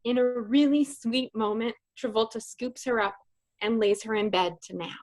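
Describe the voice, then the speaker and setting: A woman's voice: fairly high pitch, normal speed. A woman reading comedic scripted narration for a radio play.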